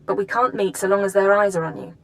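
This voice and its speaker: An actress playing a businesslike intelligence handler in a spy game, briefing in a measured, precise, familiar way. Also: female voice, mid-range voice, vocal fry, natural voice. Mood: focused, brisk. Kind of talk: video game drama acting.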